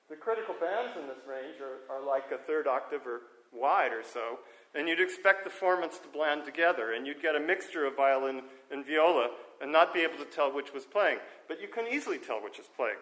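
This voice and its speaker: A man speaks into a microphone before a live audience in a lecture room, his voice a touch higher than most. He talks informally and corrects himself as he explains, pausing to ask "okay?" to keep the room with him. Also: fairly bright tone, echoey room, rough recording. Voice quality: strong speaking voice. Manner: informal, self-correcting, frequent "okay?" checks. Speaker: conference speaker. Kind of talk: technical lecture on hearing and audio. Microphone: handheld or stand microphone.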